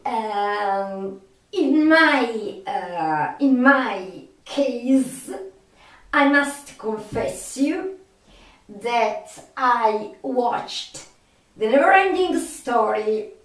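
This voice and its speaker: A home video channel where a woman with a non-native English accent haltingly addresses fellow fans in a highish voice, in an echoing room. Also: lively intonation. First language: not English. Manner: halting, drawn-out delivery with pauses. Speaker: video host, film fan. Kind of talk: casual monologue to viewers. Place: home studio. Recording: echoey room, distant microphone.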